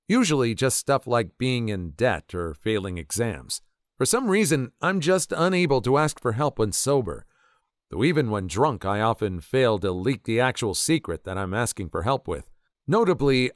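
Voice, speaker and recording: Lively man narrating stories. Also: medium pitch, dry recording, clean studio sound. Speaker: story narrator. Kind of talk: reading internet stories aloud.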